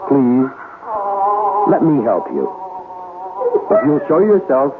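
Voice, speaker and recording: An American actor playing a grave, gentle ghost hunter in a 1970s radio drama, speaking in an earnest, soothing, deliberate way. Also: male voice, mid-range voice, wildly swooping pitch, over loud music. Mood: soothing, urgent. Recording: noisy, narrow-band old radio broadcast.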